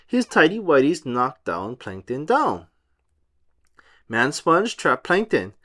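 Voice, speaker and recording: A man reading a storybook aloud for children in an animated style. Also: on the high side, slow.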